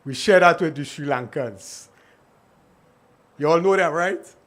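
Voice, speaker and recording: Middle-aged Trinidadian man, keynote speaker, chatty and direct with the audience, a touch high-pitched. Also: measured pace, a bit bright. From Trinidad and Tobago. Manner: conversational, talking to the audience, asks the room questions. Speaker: invited speaker. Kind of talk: conference keynote presentation.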